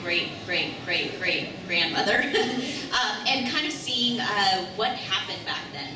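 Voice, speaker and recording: A woman author speaking casually on a convention panel, loud, with many ums and likes. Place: convention hall panel room. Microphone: panel mic heard from far off. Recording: booming echoey hall, distant, noisy.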